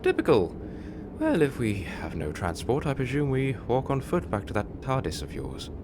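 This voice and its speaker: A man playing a fretful, sardonic gentleman in an audio drama, a reluctant companion who complains drily and formally. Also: mid-range voice, huge pitch swings, moderate pace, noisy background. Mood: dry, sardonic. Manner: dry, complaining, formal wording.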